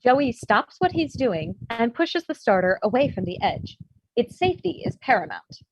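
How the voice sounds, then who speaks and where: A woman's voice: fairly high pitch, sing-song, normal speed, husky, no room sound, rough recording. A woman reading screenplay stage directions as scripted narration for a table read. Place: indoors, remote virtual table read.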